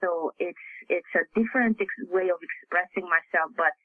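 A man's voice: sits high, no room sound. Man with Hungarian accent on a phone line. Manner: halting, many fillers and restarts. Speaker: author guest. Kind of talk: interview answers.

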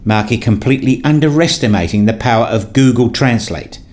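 A man's voice: medium pitch, brisk, a little mellow, natural voice. Wry, nerdy dad played by a man. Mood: wry narration. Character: nerdy, wry, playful husband and father. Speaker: narrator and lead actor in a radio play. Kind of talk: first-person audio drama narration and dialogue.

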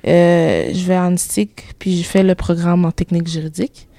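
A woman's voice: fairly low pitch, little pitch movement, normal speed, gravelly, mellow, no echo. A seventeen-year-old girl from Quebec with a Québécois accent, speaking candidly in a casual group discussion and slipping in English words. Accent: Québécois French. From Quebec, Canada. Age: teenager, 17. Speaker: student guest.

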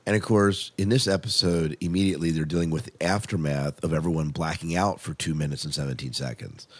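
A man's voice: extremely low pitch, fairly even intonation, a little fast, slightly rough. A man co-hosting a podcast in casual review chat, with an enthusiastic, emphatic way of talking. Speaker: podcast co-host.

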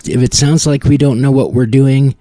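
A man's voice: medium pitch, steady tone, normal speed, warm tone, dry recording. A man hosting a podcast in casual banter, wry, tongue-in-cheek and self-deprecating. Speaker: podcast host. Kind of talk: casual podcast banter, partly scripted announcements.

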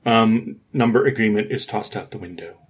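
Husky-voiced man explaining informally. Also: fairly low pitch, normal speed. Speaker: podcast co-host.

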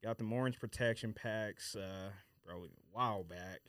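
A man's voice: on the low side, normal speed, fairly bright tone, no echo. A man chatting casually as an in-studio podcast guest, easygoing and a little hesitant in his phrasing. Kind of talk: casual chat. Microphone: podcast studio microphone.

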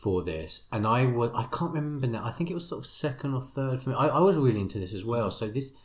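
A man co-hosting a podcast, introducing songs and chatting in a relaxed way. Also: medium pitch, quick pace. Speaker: podcast co-host. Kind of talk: casual chat introducing songs.

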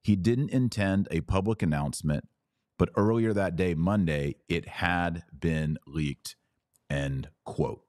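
A man hosting a podcast and giving live opinion commentary in deliberate, emphatic short phrases. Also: low voice, darkish tone, no room sound, clean studio sound.

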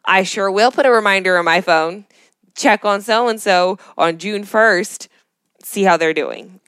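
A woman's voice: mid-range voice, a little slow, a bit bright, smooth, dry recording, clean studio sound. A woman co-hosting a podcast, speaking casually and reflectively in an upbeat, enthusiastic way. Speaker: podcast co-host.

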